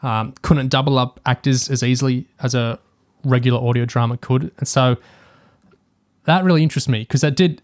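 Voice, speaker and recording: A man's voice: mid-range voice, fairly even intonation, normal speed, a little mellow, dry recording, studio quality. A man co-hosting a podcast, talking casually and analytically through a review, hesitantly thinking aloud as he goes. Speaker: podcast co-host.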